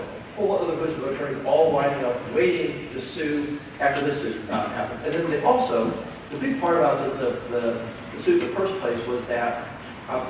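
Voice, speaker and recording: A man speaking on a panel, candid and emphatic, with frequent restarts. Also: medium pitch. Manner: candid, restarts often, builds to emphatic points. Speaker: university system president on a panel. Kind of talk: panel discussion. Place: large echoey event hall. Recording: echoey hall from far off, noisy.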